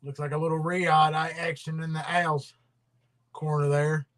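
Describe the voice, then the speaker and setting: A man's voice: fairly high pitch, little pitch movement, unhurried, fairly bright tone. A man hosting a casual livestream, rambling and drawling, his voice trailing off into mumbles. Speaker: livestream host.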